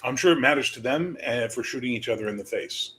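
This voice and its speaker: A man's voice: medium pitch, quick pace, sharp tone, rough recording. A man hosting a livestream, rambling casually with lots of swearing and jokes. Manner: rambling, profane, jokey. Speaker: stream host. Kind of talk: casual chat.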